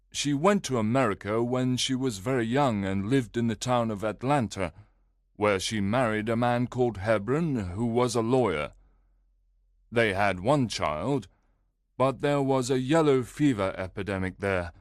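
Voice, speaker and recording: A man's voice: fairly low pitch, dry recording, studio quality, voice pushed up, lightly acted. A man voicing an upset, earnest male visitor telling his story in a read-aloud tale.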